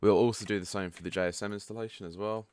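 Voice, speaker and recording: A man with a croaky, just-woken-up voice giving a relaxed, chatty walkthrough of a software setup. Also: lowish voice, quick pace, no room sound. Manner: relaxed and chatty, frank asides. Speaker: product developer giving a setup tutorial. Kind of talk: casual screen-share walkthrough.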